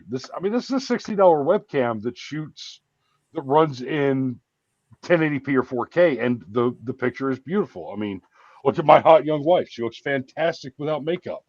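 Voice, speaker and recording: A man's voice: medium pitch, darkish tone, dry recording. A man who hosts his own YouTube show, chatting and bragging at length on a live call through his webcam. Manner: chatty, boastful, rambling. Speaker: YouTube show host and video producer. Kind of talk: casual chat on a live show. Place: home, on a video call. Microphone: webcam microphone. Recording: dull lo-fi webcam audio.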